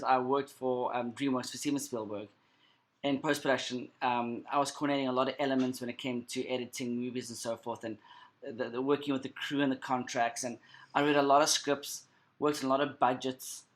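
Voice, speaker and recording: A man's voice: medium pitch, fairly even intonation, fairly quick. South African man telling his story. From South Africa and Cape Verde. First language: Cape Verdean Creole Portuguese. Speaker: interview guest. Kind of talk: conversational personal storytelling.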